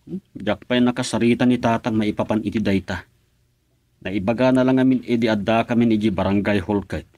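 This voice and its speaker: An actor playing a husband who calmly reassures his wife in a radio drama. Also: on the low side, moderate pace, no echo, close to an everyday voice. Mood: reassuring.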